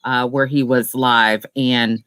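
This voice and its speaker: Upbeat interviewer asking long questions, bright voice, dry close sound. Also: mid-range voice, slow pace, clean tone, no room sound. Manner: lively, encouraging, long questions. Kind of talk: casual interview.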